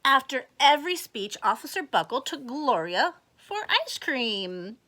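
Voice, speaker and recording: A woman reads a children's story aloud in a bright, high voice. Her delivery is slow and swings widely in pitch, with an expressive, exaggerated manner aimed at young listeners. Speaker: storyteller reading a picture book to children. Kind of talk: animated children's read-aloud with asides and questions.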